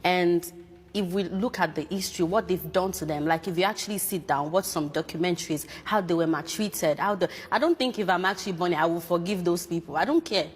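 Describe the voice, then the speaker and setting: A woman who grew up in Africa speaks in a fairly low voice, thinking things through out loud. She talks earnestly and casually, and her voice carries a long reverb. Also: fairly quick, husky. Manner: earnest, reflective, speaks in quoted asides. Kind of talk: casual reaction video.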